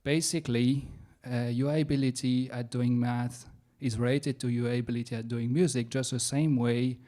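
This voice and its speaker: A young man from France with a French accent, doing a science stand-up comedy set in a self-mocking, halting way with playful asides. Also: medium pitch, steady tone, dark tone. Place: comedy venue stage.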